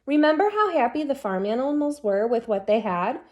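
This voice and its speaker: A woman voicing a kindly, wise grandmother critter in a children's storybook, gently instructive. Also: a little high, sing-song, a little fast. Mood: gentle, encouraging.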